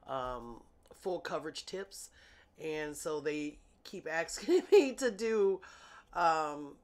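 A woman's voice: lowish voice, fairly bright tone. A woman, congested and sniffly from illness, chatting casually to her viewers while she unboxes nail gel at her desk. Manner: chatty, self-amused, talks to viewers as "y'all". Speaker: nail artist vlogger. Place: home nail desk, indoors.

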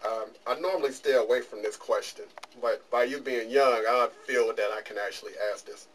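Middle-aged man, casual interview host, mid pitch, recorded off-mic in a small room. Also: fairly quick. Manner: plainspoken, joking, with fillers. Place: small office studio. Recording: distant camera mic with faint room noise.

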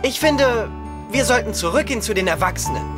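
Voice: mid-range voice, animated, fairly quick, over loud music, natural voice. An actor voicing a cautious, sensible schoolboy in a German cartoon audio drama. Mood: worried.

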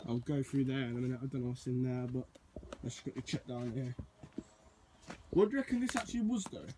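A man's voice: medium pitch, fast talker. A young man narrating on a walk through overgrown woods, recorded on a handheld phone with faint outdoor noise behind him. He rambles loosely, leaning on "literally" and trailing off mid-thought. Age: young adult. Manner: rambling, says "literally" a lot. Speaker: urban explorer vlogger. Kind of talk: casual walking vlog. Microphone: handheld phone camera.

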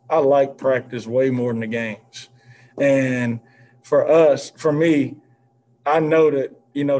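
A basketball head coach in his thirties speaks in a mellow, warm voice, earnest and measured. He leans on fillers as he works through his answers over a video call. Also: medium pitch. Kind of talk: postgame press conference answers. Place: indoor press backdrop room. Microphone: video call, seated at desk with mask.

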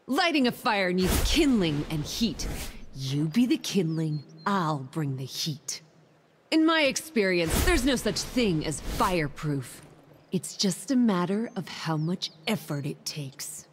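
A woman's voice: fairly low pitch, very animated, takes it slowly, a little hiss or hum. A woman playing a brash, hotheaded young fire mage in a video game, with cocky, punchy delivery and long reverb. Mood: cocky, teasing. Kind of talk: video game voice pack lines.

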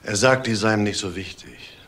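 Apologetic brother-in-law, tactful, low-pitched, crime radio drama, slight background noise. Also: male voice, moderate pace, fairly bright tone. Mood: reassuring. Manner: tactful, hesitant. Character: apologetic, well-meaning brother-in-law giving advice.

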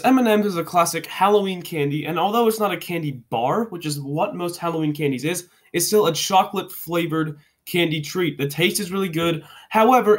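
A congested young man giving a joking, rambling rundown of candy rankings into his webcam at home. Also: on the high side. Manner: joking, rambling, self-correcting. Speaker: vlogger. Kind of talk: casual ranking commentary.

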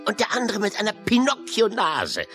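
An actor playing a boisterous, yarn-spinning sailor uncle with salty, hearty exclamations in a children's audio drama. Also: male voice, sits high, wildly swooping pitch, moderate pace, over music. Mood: blustery, curious. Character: boisterous, yarn-spinning old seafaring uncle with a wooden leg.

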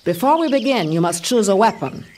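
A woman's voice: medium pitch, very animated, a little fast, a little mellow, slight background noise, natural voice. A woman playing a stern, commanding martial arts master in a comic-book audio drama, speaking formally and tersely. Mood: stern teaching. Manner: formal, terse, few contractions.

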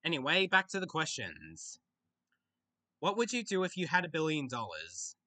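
A young Australian man reads out viewer questions and answers them off the cuff on a webcam in a small bedroom. His voice is bright and fairly high, and his pitch swings up and down a lot. He often stops, restarts and thinks aloud. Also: wildly swooping pitch. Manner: halting, with frequent restarts and pauses to think. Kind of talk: casual Q&A chat.

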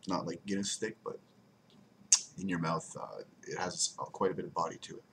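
A man's voice: low-pitched, moderate pace, vocal fry, fairly bright tone, a little hiss or hum. A young man casually reviewing a beer at home, rambling and repeating words for emphasis, recorded on a desk webcam. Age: young adult. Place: home room by window blinds, indoors.

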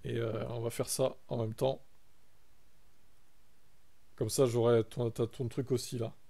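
A man's voice: medium pitch, little pitch movement, measured pace, creaky, dark tone. A young man from France chatting casually on a live stream, reacting to his chat and reading text aloud.

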